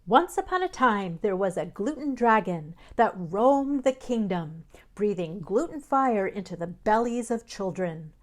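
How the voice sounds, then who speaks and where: A woman's voice: medium pitch, sing-song, unhurried, few pauses, dry recording. Woman narrator with a close, dry sound. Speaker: podcast host, voiceover narrator and reporter. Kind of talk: scripted narration for podcasts and radio.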